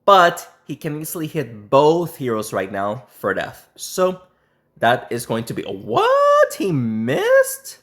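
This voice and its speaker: A man with a hoarse voice, sick and nearly losing it, commenting casually on a mobile game match he is playing. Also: on the high side, huge pitch swings, a bit bright. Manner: throat clearing, halting restarts. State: sick, voice strained and nearly lost. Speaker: gaming YouTuber.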